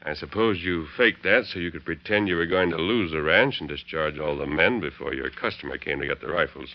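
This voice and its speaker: Deep-voiced calm cowboy hero, authoritative, measured, old radio western, muffled. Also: male voice. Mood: calm, accusing. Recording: dull vintage radio recording.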